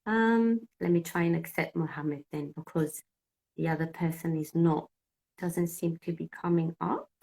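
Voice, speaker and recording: A woman's voice: on the low side, fairly even intonation, normal speed, gravelly, dark tone. A woman from Cyprus whose first language is Greek, an English teacher hosting a casual live-stream chat and thinking aloud as she sorts out technical trouble. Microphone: phone.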